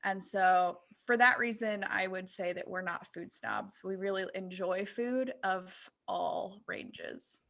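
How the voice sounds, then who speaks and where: A woman's voice: medium pitch, lively intonation, slow, vocal fry, hardly any pauses, a bit bright. A woman co-hosting a podcast, talking in a casual, conversational style. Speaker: podcast co-host. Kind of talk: casual chat.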